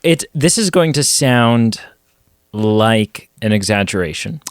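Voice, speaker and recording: A man co-hosting a casual podcast chat, going hoarse as he talks, rambling with quick self-deprecating asides. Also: fairly low pitch, animated, dry recording, studio quality. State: losing his voice. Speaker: podcast co-host.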